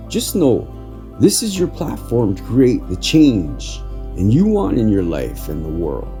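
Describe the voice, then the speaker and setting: A man hosting a podcast of inspirational readings, speaking earnestly with deliberate phrasing. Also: fairly low pitch, mellow. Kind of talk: inspirational spoken article reading.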